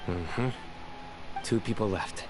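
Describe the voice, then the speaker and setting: Low-voiced, composed game character. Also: male voice, over loud music. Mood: calm, courteous. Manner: measured, formal. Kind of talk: scripted video game dialogue. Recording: noisy game audio from a stream.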